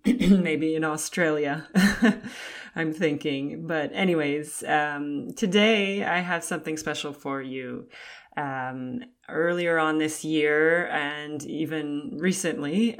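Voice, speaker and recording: A woman hosting her own podcast in a chatty, casual way, her voice slightly raspy today and light laughs slipping in between phrases. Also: low voice. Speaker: podcast host. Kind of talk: casual solo podcast talk.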